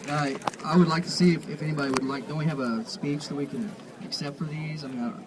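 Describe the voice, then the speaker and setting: A man speaking as a meeting chair outdoors, in formal procedural language with a deliberate, halting delivery. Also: fairly high pitch, steady tone, background noise. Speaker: convention chair running a meeting. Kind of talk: formal parliamentary procedure, announcing to an assembly. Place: outdoors at a parking lot gathering.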